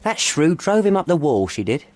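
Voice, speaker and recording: An actor playing a put-upon, sarcastic police officer in a video game, with a gruff, blunt, griping manner. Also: male voice, fairly high pitch, sing-song, normal speed, a little mellow. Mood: sardonic. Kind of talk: video game cutscene acting.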